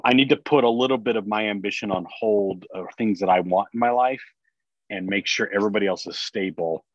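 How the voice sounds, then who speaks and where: Low-pitched man, dry and slightly muffled recording. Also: a little fast, dry recording. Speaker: podcast guest, business executive. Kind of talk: casual personal storytelling in an interview. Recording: dry, slightly dull sound.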